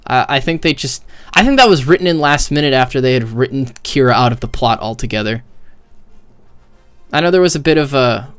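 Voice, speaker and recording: A man's voice: medium pitch, lively intonation, brisk, clear, resonant tone, soft background music. A man streamer giving casual, off-the-cuff commentary on game cutscenes in a blunt, sweary, opinionated way. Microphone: close mic with gain set very high.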